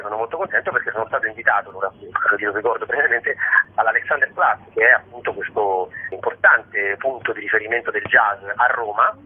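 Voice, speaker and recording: A man speaks as a guest in a radio interview, his voice husky and slightly clouded. He talks in an expressive, discursive storyteller's way, turning to rhetorical asides. The sound is narrow and thin, like a telephone call on air. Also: on the low side. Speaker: guest, stage actor and storyteller.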